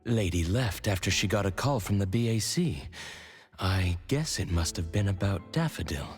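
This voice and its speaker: A male actor playing a calm, thoughtful companion in a video game. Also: low-pitched, moderate pace, soft background music. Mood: measured.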